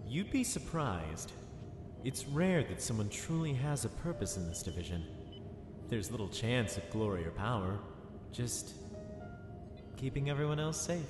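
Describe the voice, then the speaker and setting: A man playing a reserved but friendly military lieutenant in a sci-fi drama, talking in a conversational, gently probing way. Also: medium pitch, sing-song, unhurried. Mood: curious, probing. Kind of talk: fan sci-fi drama acting. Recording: echoey reverb with music underneath.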